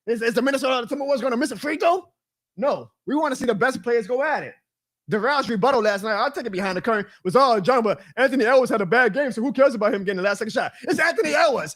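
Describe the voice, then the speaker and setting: A man's voice: extremely high pitch, a bit bright. A man in his thirties hosting a live sports talk stream, ranting with energy and laughing often. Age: 30s. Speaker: show host.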